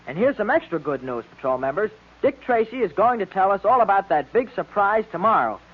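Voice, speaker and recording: A man's voice: high voice, very animated, brisk. A male performer playing an eager, admiring boy sidekick in an old radio serial, emphatic and full of gee-whiz enthusiasm. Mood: eager, proud. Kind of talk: 1930s-40s radio serial acting. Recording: muffled vintage radio serial recording.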